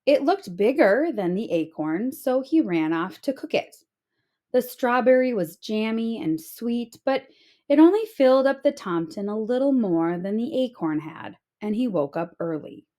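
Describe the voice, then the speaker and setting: Woman reading a kids story, highish voice, really expressive, takes her time. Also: measured pace. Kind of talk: expressive children's storybook narration.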